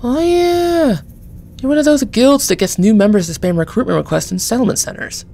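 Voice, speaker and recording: Female actor as unimpressed guild member, gravelly fry, mellow tone, blunt delivery, fantasy audio drama. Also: fairly high pitch, brisk. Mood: dismissive recognition.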